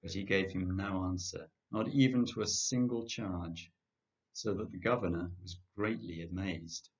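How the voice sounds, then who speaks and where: An older man reads scripture aloud in a fairly low voice. His delivery is measured and deliberate. The recording is slightly muffled and lo-fi, made at home. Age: older, 60s or above. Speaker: church congregation member reading scripture. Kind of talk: scripture reading, narration of a Gospel. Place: indoor home kitchen. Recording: dull lo-fi home webcam sound.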